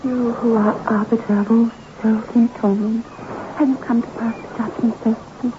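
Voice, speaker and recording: A woman acting an eerie, deluded young girl in an old radio horror play. Her fairly high voice is solemn and theatrical, as if addressing spirits. The recording is dull and noisy. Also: little pitch movement, moderate pace, close to an everyday voice. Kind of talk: 1960s radio drama acting.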